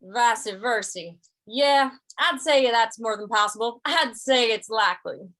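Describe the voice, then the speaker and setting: A laconic, reluctant cowboy of a western radio play , acted by a woman. The voice sits on the high side, and the delivery is folksy and hesitant, with stammered, drawn-out replies. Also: a bit bright. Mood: wry. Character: laconic, easygoing male cowboy drifter, reluctant peacemaker. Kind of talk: old-time western radio drama acting and narration.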